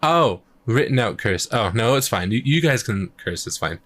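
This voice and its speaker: A man chatting casually on a live stream, thinking out loud as he works on his music, his voice a little croaky. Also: mid-range voice, animated, moderate pace. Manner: rambling, thinks aloud while working. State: slightly croaky voice. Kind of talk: casual livestream chat.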